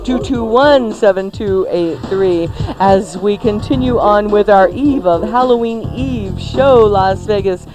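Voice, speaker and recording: A woman co-hosting a radio show, chatting casually and making on-air announcements in a playful, drawn-out way. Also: mid-range voice, poor audio. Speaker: radio co-host. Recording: music behind the voice. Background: music.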